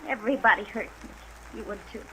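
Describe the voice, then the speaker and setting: A woman's voice: a little high, fairly quick, slight background noise, low-quality recording. An actress playing a desperate, bitter, fearful young woman in a 1950s radio drama, emotional and plaintive, on a vintage recording. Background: steady rain sounds.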